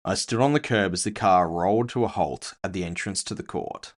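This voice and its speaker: A man's voice: deep voice, brisk. A man narrating scripted first-person fiction with a wry storytelling manner.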